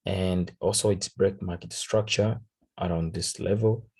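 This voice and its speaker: A man with a Tanzanian accent, a native Swahili speaker, casually talking through a trade recap in halting English, searching for words. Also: low-pitched, steady tone, creaky, a little mellow, breathy edge. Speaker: forex trader. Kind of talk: casual trading recap over a chart.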